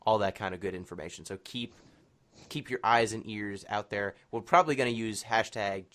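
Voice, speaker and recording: Low-voiced man hosting a casual podcast. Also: a little fast, fairly bright tone. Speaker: podcast host, interviewer. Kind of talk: casual podcast interview and announcements.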